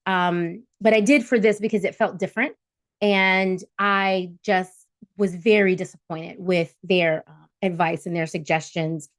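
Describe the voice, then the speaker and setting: A woman's voice: on the low side, steady tone, a little slow, dry recording. A woman in her forties hosting an interview, telling a personal story in a chatty way with many fillers. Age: 40s. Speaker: host. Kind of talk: casual interview chat.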